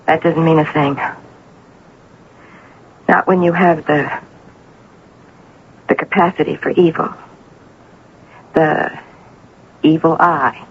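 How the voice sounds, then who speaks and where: Scheming bossy woman, low emphatic voice, ominous, self-assured, old radio drama, muffled sound. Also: steady tone, takes it slowly, long pauses, a little hiss or hum.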